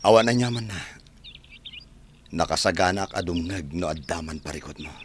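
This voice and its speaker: A man's voice: sits low, moderate pace, natural voice. A man playing a kind, consoling farm owner in an Ilocano radio drama, with birdsong behind him. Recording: clear background noise with birdsong.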